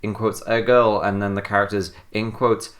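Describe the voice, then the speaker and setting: A podcast co-host in a casual group discussion, explaining things informally in a halting way that circles back on phrases. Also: low-pitched, level tone.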